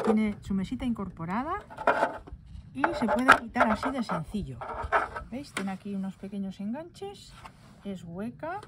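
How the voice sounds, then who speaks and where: A woman's voice: medium pitch, very noisy. Chatty woman showing dolls outdoors. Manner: chatty, affectionate, pauses to search for words. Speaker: doll collector showing her collection. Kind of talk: casual show-and-tell. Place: outdoors on a stone table in a garden.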